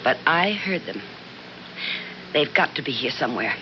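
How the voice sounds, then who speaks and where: A woman's voice: low-pitched, moderate pace, loud music behind the voice. An American woman acting an anxious, insistent wife haunted by strange voices in a 1970s radio drama. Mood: agitated, insistent. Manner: excitable, urgent, insistent. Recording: dull, noisy 1970s radio recording.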